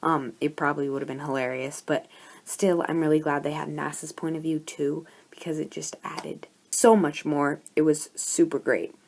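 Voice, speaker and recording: A woman with a hoarse voice from a cold, chatting and gushing in a casual book review vlog, full of "like" fillers. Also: deep voice, flat delivery, normal speed, a little mellow. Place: at home.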